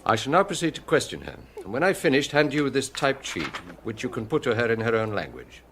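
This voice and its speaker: Relentless police detective, swooping delivery. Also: male voice, medium pitch, sing-song, a bit bright, slight background noise. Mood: cold, methodical. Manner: insistent, sardonic, deliberate. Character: relentless, hard-edged male police detective interrogating a suspect. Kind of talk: radio drama acting.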